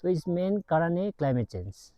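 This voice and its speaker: A man's voice: a little high, very animated, rapid-fire, no room sound, highs cut off. A male mountaineering instructor explaining to camera, adding tag questions as he goes.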